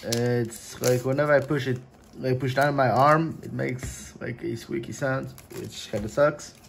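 A young man whose first language is Portuguese, chatting casually at home in his gaming room, with lots of filler words and sudden excited bursts. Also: mid-range voice, a little slow, vocal fry. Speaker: card opening vlogger. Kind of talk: casual unboxing chat. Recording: faint crinkling and desk noise.

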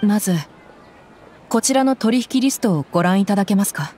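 A woman's voice: mid-range voice, fairly quick, warm tone, a little hiss or hum, natural voice. A woman playing a tough young female mercenary bodyguard in an anime game, frank and loyal, speaking plainly over music. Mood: polite. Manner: rough, frank speech turning polite. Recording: background music behind voice.

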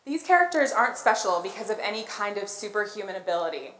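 An American woman speaks on stage with a mid-pitched voice and plenty of room echo. She tells stories in a rehearsed yet conversational way and often puts questions to her listeners. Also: big pitch swings, quick pace, a bit bright. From born in Colombia, grew up in Los Angeles. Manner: conversational, poses questions to the audience. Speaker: conference keynote speaker, product designer. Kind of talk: rehearsed conference talk with storytelling. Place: on stage in a large auditorium. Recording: roomy echo of an auditorium.

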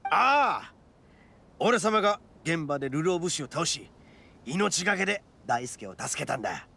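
An actor playing a brash, proud young male oni gang boss in a video game, with rough, cocky, emphatic speech. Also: sits high, fairly bright tone. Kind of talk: dramatic video game voice acting.

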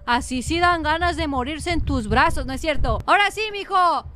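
A woman's voice: sits high, lively intonation, moderate pace, bright, forceful tone, slight background noise. A woman with a Mexican accent commentating a horror game as a streamer, dramatic and teasing, sometimes breaking into song. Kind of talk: gaming livestream commentary.

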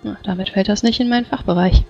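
Woman, gravelly fry, in-character tabletop dialogue, slightly lo-fi muffled audio. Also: medium pitch, fast, darkish tone, soft background music. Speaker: tabletop role-playing player. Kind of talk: in-character improvised game dialogue.

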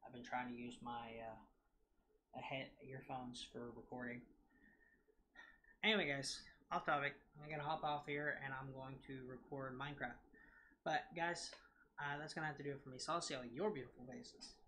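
A man in his twenties with a nasal voice, chatting casually to camera in a rambling, excited way full of fillers and restarts, recorded close on a desk mic in a large room at home. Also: medium pitch, normal speed, a little hiss or hum, low-quality recording. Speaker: gaming vlogger. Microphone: desk mic on a scissor arm, close.

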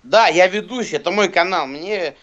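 Thirties man, slangy and rambling, pitched a bit high, dull lo-fi call audio. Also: normal speed, a bit bright. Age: 30s. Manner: slangy, swearing, rambling with many fillers. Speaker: rapper and guest. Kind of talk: casual video call chat. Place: indoors, small room. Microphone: phone camera close to face.

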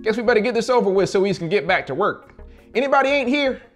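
A man's voice: sits high, lively intonation, fast talker, faint music behind, voice pushed up. A man playing a sober, bossy small-town official who calls out in plain country talk, in a dramatized audiobook. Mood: folksy, businesslike.

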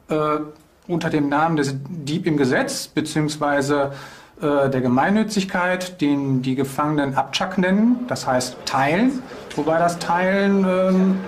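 A man in his forties explaining prison life in an interview, hesitating often with fillers. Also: fairly high pitch. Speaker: prison official. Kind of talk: on-camera interview answers. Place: indoors, prison corridor.

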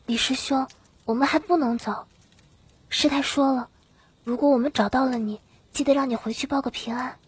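A man voicing a gentle young woman who speaks earnestly and insistently in an audiobook. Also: very high voice, fairly even intonation, normal speed, long pauses, husky, dry recording, voice pushed up, exaggerated voice.

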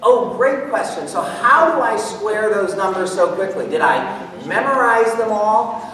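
A middle-aged man with a high voice presents a step-by-step demonstration to an audience. His animated explanation rings through a very reverberant auditorium, picked up from far off with some faint noise behind it. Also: unhurried. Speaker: presenter demonstrating mental math. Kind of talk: live lecture-demonstration to an audience. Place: large auditorium stage, indoors. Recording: echoey hall from far off, background noise.

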